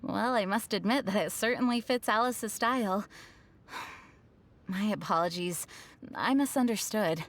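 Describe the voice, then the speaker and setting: A woman voicing a thoughtful, composed young woman in a video game, earnest, polite and measured. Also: mid-range voice, natural voice. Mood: reflective, apologetic. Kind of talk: scripted video game voice acting.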